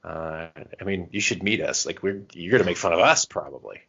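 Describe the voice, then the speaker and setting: Male podcast co-host. Very low voice, casual and self-mocking banter, slightly dull audio. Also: brisk, gravelly, pushed and bright, slightly rough. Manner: jokey, bantering, self-deprecating. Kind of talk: casual chat.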